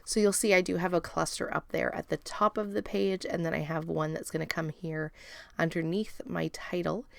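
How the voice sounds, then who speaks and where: A woman with a raspy, strained voice talks through her scrapbook page in a casual voiceover, with plenty of ums and uhs. Her voice sits fairly low and has a heavy gravelly fry. It is recorded close and dry, with no room sound at all. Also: moderate pace, dry recording. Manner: relaxed, chatty, many ums and uhs. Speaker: scrapbooking video host. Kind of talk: casual voiceover narration of a craft process.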